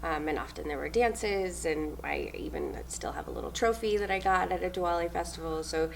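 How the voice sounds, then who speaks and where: A young adult woman from New Zealand, a stage manager answering interview questions in a loud, upbeat way, often laughing. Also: on the low side, expressive, fairly quick, creaky, a little hiss or hum, rough recording.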